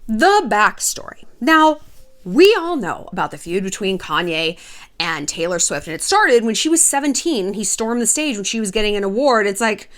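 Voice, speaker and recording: A woman's voice: mid-range voice, sing-song, normal speed, a bit bright. A woman hosting her own video, chatting casually and gossipy to camera in a sassy, irreverent way with some swearing. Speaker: host of an online video channel.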